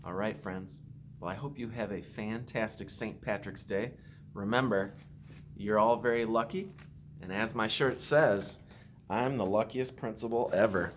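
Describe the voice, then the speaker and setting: A man who is a school principal reads a picture book aloud to children with expressive, simple storytelling phrasing. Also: fairly low pitch, unhurried, a little hiss or hum. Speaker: school principal reading to pupils.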